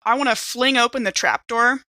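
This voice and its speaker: A woman's voice: fairly high pitch, fairly quick. A woman player in a tabletop game, chatting casually and playfully as she describes her character's actions. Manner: animated, playful.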